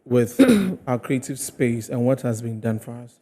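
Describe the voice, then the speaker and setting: A Ghanaian man hosting a conversational TV interview, building his points piece by piece in a halting way. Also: mid-range voice, flat delivery, mellow. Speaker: TV host.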